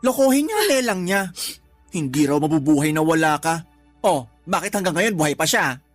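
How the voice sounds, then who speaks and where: An actress playing a sharp-tongued, loyal female cousin in a radio drama, sassy, indignant and emphatic. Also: low voice, animated, moderate pace, dry recording. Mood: exasperated. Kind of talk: Tagalog radio drama acting. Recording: music under the voice.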